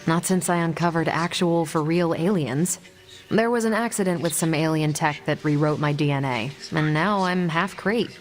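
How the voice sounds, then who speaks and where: An actress playing a confident, easygoing American superhero in a video game, with a wry, casual manner. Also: female voice, low-pitched, moderate pace, faint music behind, lightly acted. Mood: frank, reflective. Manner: wry, casual, self-assured. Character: confident, easygoing superhero woman, ex-Air Force pilot. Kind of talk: video game dialogue acting.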